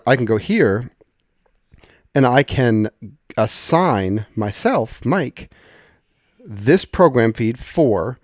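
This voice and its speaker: A man with a medium-pitched voice walks through software in an informal, conversational way. He explains things as a tutorial presenter would, thinking aloud. The sound is dry, with very little room in it. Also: no room sound.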